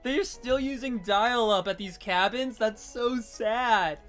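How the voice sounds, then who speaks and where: A woman's voice: a little high, takes it slowly, faint music behind. A woman hosting a let's play, giving casual, rambling, jokey commentary on a visual novel. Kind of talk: casual game commentary with character reading.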